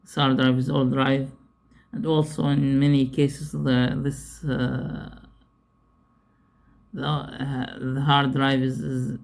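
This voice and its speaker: Slow, monotone man explaining a repair. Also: medium pitch, gravelly. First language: not English. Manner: halting, repeats himself, searching for words. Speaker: repair tutorial presenter. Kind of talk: unscripted hands-on tutorial explanation.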